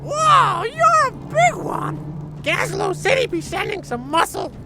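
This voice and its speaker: A tiny goblin sapper with an extremely high, squeaky voice, gleeful about blowing things up. Orchestral game music plays clearly behind. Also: female voice, big pitch swings, slow pace, full character voice. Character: excitable little goblin sapper, explosive-happy.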